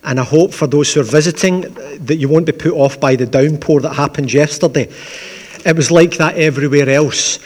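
A man with a Glaswegian accent preaches in a relaxed, conversational way, slipping in dry asides. His voice sits a little higher than most men's. The sound carries a long church reverb. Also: a little fast. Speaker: pastor. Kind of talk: sermon with opening prayer.

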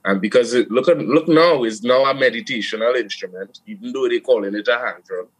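A man from Trinidad with a Trinidadian accent, a steelpan player and producer talking in a casual interview, animated, with frequent restarts and "you know". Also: medium pitch, fairly quick, breathy edge, rough recording.

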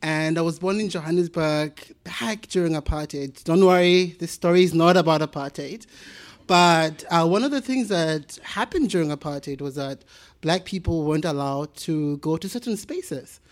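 A South African man in his thirties, a plant biologist telling a playful, animated story in a talk. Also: a little high, normal speed. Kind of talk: storytelling presentation.

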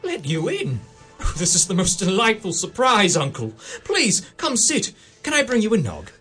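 An actor playing Scrooge's cheerful young nephew, exclaiming with delight in a radio play. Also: male voice, sits high, huge pitch swings, normal speed, bright, forceful tone, exaggerated voice. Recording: radio drama with faint music.

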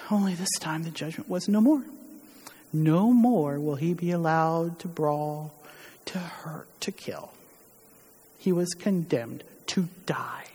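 A woman playing a grieving, ashamed widowed mother, speaking solemnly and haltingly with heavy pauses in a dramatic church monologue. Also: low voice, warm tone, husky, heavily acted. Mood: anguished. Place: large reverberant church during a worship service. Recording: echoey hall with long reverb, muddied.

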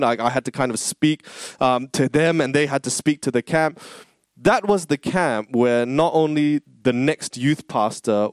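A man in his thirties preaching a sermon, telling a personal story in an animated, earnest, conversational way. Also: medium pitch, clear, resonant tone, no room sound, clean studio sound. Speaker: pastor.

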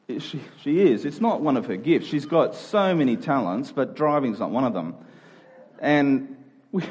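A man's voice: mid-range voice, a little mellow, slightly rough, highs cut off. A man preaching a conversational sermon, earnest, repeating key phrases for emphasis.

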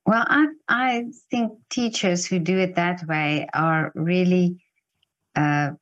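Afrikaans-woman interviewer, somewhat low voice, supportive and conversational. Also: steady tone, slow, dull audio. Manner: warm, encouraging, frequent backchannels.

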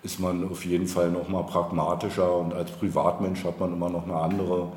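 Male interview guest, low register, thoughtful, halting delivery, thinking aloud, roomy recording. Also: completely flat delivery, a little mellow, a little hiss or hum. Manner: hesitant, searching for words, thinking aloud. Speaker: interview guest, local politician. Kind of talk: reflective conversational interview. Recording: roomy, echoey indoor sound.

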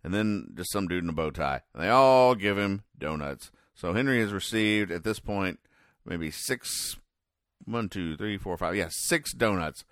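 Male comedy podcast host, deep voice, halting deadpan explainer, close dry recording. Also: no echo. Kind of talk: comic commentary describing newspaper comic strips.

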